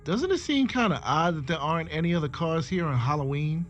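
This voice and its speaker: Man playing a higher-voiced, reluctant young skeptic. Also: animated, fairly quick, dull audio, soft background music, lightly acted. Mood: wary. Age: young adult. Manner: wary, exasperated. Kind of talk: cheesy horror audio drama acting.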